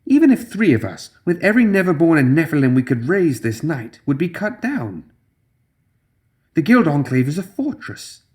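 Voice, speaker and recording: A man voicing a sceptical, sharp-minded supernatural woman in a fantasy audiobook, in a pointed, questioning way. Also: a little high, big pitch swings, moderate pace, voice pushed up. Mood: sceptical, worried.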